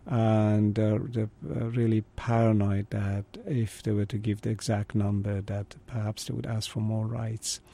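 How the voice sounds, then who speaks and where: A middle-aged man from Iran, a native Kurdish speaker, telling his life story as an interview guest in a thoughtful, halting way. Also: fairly low pitch, level tone, vocal fry, warm tone. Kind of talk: conversational interview.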